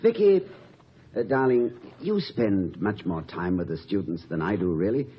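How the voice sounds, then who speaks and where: An actor playing an anxious, modest college president in a 1950 radio comedy, stammering and courtly. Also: male voice, on the low side, expressive, moderate pace, lightly acted. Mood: sheepish, hesitant. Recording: muffled, noisy old radio recording.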